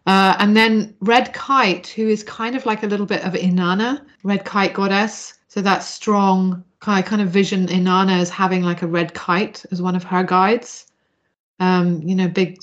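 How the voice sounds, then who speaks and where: Woman podcast guest from Zambia, mid-range voice, earnest and discursive, dull lo-fi sound. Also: mid-range voice. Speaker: podcast guest, spiritual practitioner. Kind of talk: reflective interview talk about spiritual practice.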